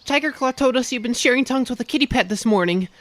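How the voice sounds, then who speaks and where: An actor voicing a nervous, excitable young male apprentice cat in an audiobook fan dub. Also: medium pitch, quick pace, close to an everyday voice. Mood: anxious.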